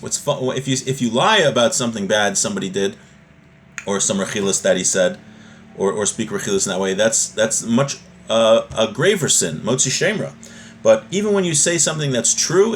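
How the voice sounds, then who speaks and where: A man with an average-pitched voice teaches a text in an informal lesson. He moves between reading and plain explanation, conversational but didactic, often checking in with the listener. Faint background ambience sits behind his voice. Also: expressive, brisk, a little hiss or hum. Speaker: religious teacher.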